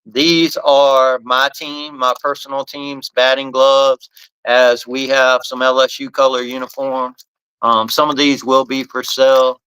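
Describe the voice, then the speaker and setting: A man's voice: medium pitch, flat delivery, measured pace, sharp tone, clear, resonant tone, dry recording, poor audio. A man hosting a casual live podcast, talking loosely about his company's products and often restarting his phrases. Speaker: podcast host and bat company owner.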